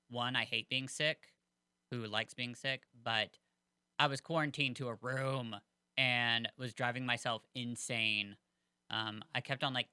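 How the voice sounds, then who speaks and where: Man, podcast co-host, very bright tone, rambling casual chat, dry close sound. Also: mid-range voice, clean tone, dry recording. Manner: rambling, chatty, many fillers.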